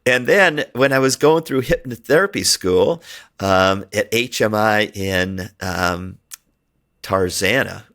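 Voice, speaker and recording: A man's voice: fairly low pitch, slow pace, fairly bright tone. A middle-aged man whose first language is Hungarian, talking in a rambling, chatty way on his own podcast and laughing often. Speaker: podcast host. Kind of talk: casual solo podcast monologue.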